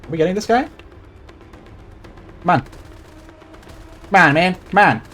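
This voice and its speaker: A man's voice: high voice, animated, slow, pushed and bright. Swedish man with an American accent, faint music. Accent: General American. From Sweden. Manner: rambling, swears freely, talks to chat while playing. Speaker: game streamer. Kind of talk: casual live gaming commentary.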